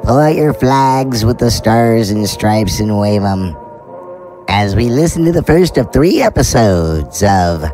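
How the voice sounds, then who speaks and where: A man's voice: fairly low pitch, lively intonation, takes it slowly, darkish tone. A man playing an easygoing, folksy spaceship mechanic who hosts a fiction podcast in a playful, leisurely manner. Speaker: show host. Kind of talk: in-character podcast introduction. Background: music.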